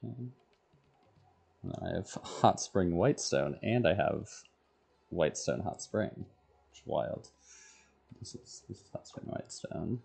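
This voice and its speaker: A man's voice: deep voice, expressive, a little slow, vocal fry, long pauses, darkish tone, husky. A man livestreaming a game, casually thinking aloud in short, absent-minded fragments as he plays. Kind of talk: casual chat while playing, muttering to self.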